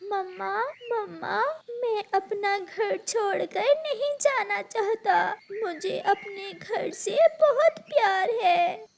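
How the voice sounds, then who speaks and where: A woman's voice: very high-pitched, slow pace, vocal fry, crowd noise, voice pushed up, full character voice. A woman voicing a sad, clingy little boy chick with a plaintive manner in a children's cartoon. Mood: tearful.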